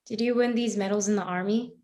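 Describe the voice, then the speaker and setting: A woman's voice: medium pitch, steady tone, quick pace, warm tone, natural voice. A woman playing a morally troubled woman who questions a cop earnestly and persistently in a live play reading. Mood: gentle.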